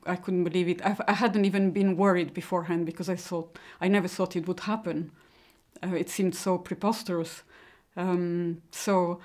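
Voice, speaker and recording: A middle-aged woman who grew up in Italy, giving a personal account in a hesitant, halting way. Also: on the low side, a little mellow. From born in Mexico, grew up in Italy. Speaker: interviewee giving personal testimony.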